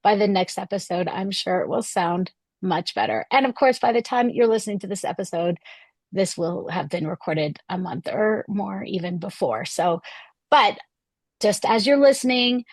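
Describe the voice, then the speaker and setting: Podcast host, woman, 50s, hoarse, upbeat and chatty, dry close-mic sound. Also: mid-range voice, lively intonation, normal speed, dry recording. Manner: enthusiastic, chatty, with fillers. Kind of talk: podcast interview hosting.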